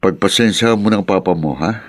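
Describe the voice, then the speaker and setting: A man's voice: low-pitched, normal speed, no room sound, lightly acted. A man acting in a Filipino radio drama as a middle-aged, concerned uncle who speaks in an earnest, admonishing way. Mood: gentle concern. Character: concerned, protective uncle, the drunkard's younger brother.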